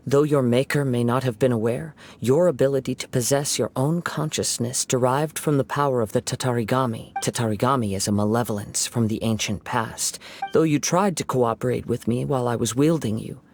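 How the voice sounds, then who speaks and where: A man playing a calm, poetic young wandering swordsman in an anime game, soft-spoken and measured. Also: mid-range voice, lightly acted. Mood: reflective.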